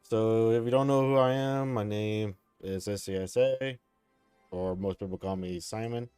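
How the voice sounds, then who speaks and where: A man streaming from home, sounding sleepy and relaxed as he chats casually with viewers and chuckles often. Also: on the low side, moderate pace, clear, resonant tone, no echo, soft background music. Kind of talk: casual live-stream chat.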